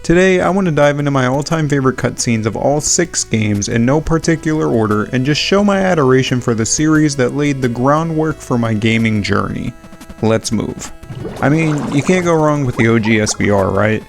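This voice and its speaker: A man's voice: mid-range voice, lively intonation, normal speed, gravelly. Guy doing casual retrospective commentary, swears a lot, big opinions, music underneath. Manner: sweary, opinionated fan talk. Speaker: video essay narrator.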